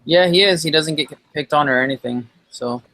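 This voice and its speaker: A man's voice: fairly high pitch, fairly quick, bright, forceful tone, no room sound. A man joining a live stream as a guest, talking casually and often restarting his sentences. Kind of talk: casual conversation.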